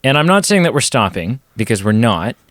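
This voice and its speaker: A man co-hosting a casual podcast chat, going hoarse as he talks, rambling with quick self-deprecating asides. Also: mid-range voice, very animated, a bit bright, no echo, clean studio sound. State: losing his voice. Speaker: podcast co-host.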